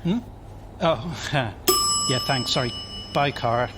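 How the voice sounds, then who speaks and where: A man's voice: medium pitch, takes it slowly, very noisy, lightly acted. An actor playing a polite, awkward male neighbour in an audio drama. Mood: distracted.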